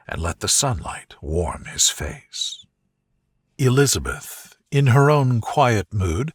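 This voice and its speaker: A man narrating a soothing scripted bedtime story in a gentle, unhurried way, with a soft, breathy voice that eases down at the ends of phrases. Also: mid-range voice, no echo, clean studio sound.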